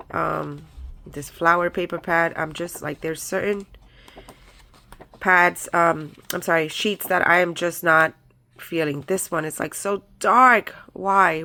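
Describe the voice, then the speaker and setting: A woman with a stuffed-up, nasal voice from a head cold, rambling casually as she shows off her craft purchases. Her tone is bright and a little sharp, and she sits on the lower side of the usual pitch range for a woman. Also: creaky. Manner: chatty, rambling with many ums and likes. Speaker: crafting channel host. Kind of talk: casual haul video chat.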